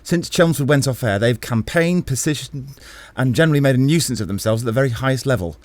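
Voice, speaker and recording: A male actor as an earnest company official of the 1920s, pushing for broadcasting in a radio drama. His voice is mid-pitched, measured and persuasive, recorded very dry. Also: lively intonation, a little mellow, dry recording, natural voice. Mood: earnest, pleading. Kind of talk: radio play acting.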